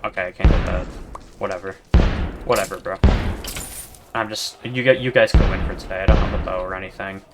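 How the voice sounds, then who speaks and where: A man giving rambling, monotone commentary over gameplay, full of fillers and restarts, as a gaming video creator. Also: sits low, a little fast, long pauses. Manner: rambling, self-described yapper with many fillers and restarts. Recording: steady background noise.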